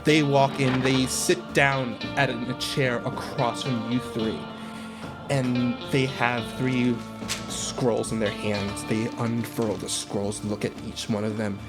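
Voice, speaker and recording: A man acting as game master, improvising a scene for players in an animated, conversational and emphatic way. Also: mid-range voice, slow. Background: loud plucked guitar music.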